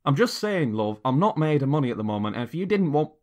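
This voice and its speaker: A man's voice: fairly high pitch, sing-song, quick pace, darkish tone, no echo, pitched above the performer's own voice. A man voicing a controlling boyfriend in an audio story, colloquial and mock-affectionate, with a temper under the cajoling. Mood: indignant, defensive. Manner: colloquial, calls listener love, cajoling then bickering. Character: controlling boyfriend, mock-affectionate, prone to sudden indignant rage. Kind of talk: dramatic monologue in an audio story.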